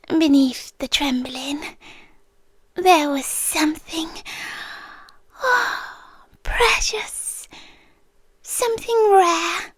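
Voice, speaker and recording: A woman's voice: extremely high pitch, no echo, heavily acted. A British woman voicing a possessive, tender but menacing winged monster girl in an ASMR roleplay, cooing breathily in half-whispers close to the microphone. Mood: delighted, coaxing.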